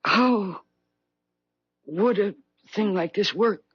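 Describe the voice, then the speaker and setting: A woman's voice: medium pitch, takes it slowly, a bit bright, breathy edge, no room sound. An actress playing a remorseful, desperate middle-aged woman with an overwrought, theatrical delivery in a 1970s radio drama. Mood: bewildered, fearful. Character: remorseful, desperate aging woman haunted by guilt. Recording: dull vintage radio broadcast recording.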